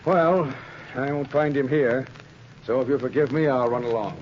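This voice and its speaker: An actor playing a worried police commissioner, earnest and formal, in 1930s radio drama style. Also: male voice, mid-range voice, moderate pace, a little mellow, natural voice. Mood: worried, defeated. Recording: scratchy, muffled 1930s radio recording.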